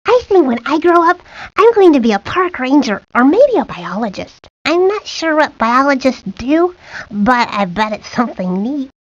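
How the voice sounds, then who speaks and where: A woman's voice: high voice, big pitch swings, normal speed, no echo. A woman voicing a chatty, outdoorsy young girl in a cartoon video game. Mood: chatty, earnest. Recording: muffled lo-fi game audio.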